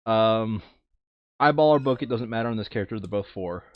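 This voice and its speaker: A man's voice: lowish voice, fairly quick. Man at a game table, dull lo-fi sound. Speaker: board game player reading out the game's text. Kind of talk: casual game table chat, some read-aloud narration.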